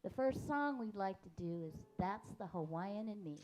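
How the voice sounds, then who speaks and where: A woman's voice: mid-range voice, lively intonation, normal speed, darkish tone, slight background noise, poor audio. A woman from a senior center jam band introducing songs at a memorial gathering, friendly and lightly joking.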